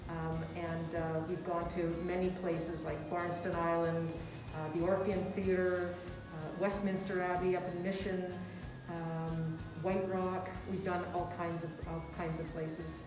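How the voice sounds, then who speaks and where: A woman's voice: lowish voice, little pitch movement, measured pace, distant mic. Friendly woman club president, plain talk, echoey room with music behind. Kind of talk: casual interview.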